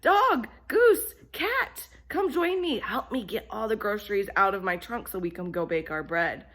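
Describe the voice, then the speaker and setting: A woman's voice: mid-range voice, animated, unhurried, fairly bright tone, pitched above the performer's own voice. A woman playing a hardworking, exasperated little hen in a children's story, speaking emphatically and bossily. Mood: calling out.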